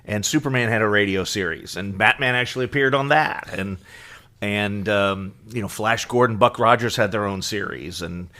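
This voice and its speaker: A middle-aged man co-hosting a podcast, explaining things casually as an enthusiastic fan, often in halting lists. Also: fairly low pitch, bright, forceful tone. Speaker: podcast co-host.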